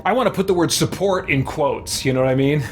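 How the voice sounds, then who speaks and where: Man, game streamer, casual commentary, thinking aloud, fairly high pitch, clear background music. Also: fast. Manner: musing, thinking out loud.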